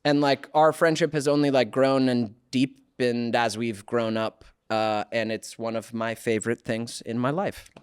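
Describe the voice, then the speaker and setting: A man in his thirties hosting a podcast, chatting casually and joking with a longtime friend, his voice dropping low and soft when he turns sincere. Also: measured pace, hardly any pauses, clear, resonant tone. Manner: relaxed, joking, trailing off into soft sincere asides. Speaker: podcast host. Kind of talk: casual interview chat.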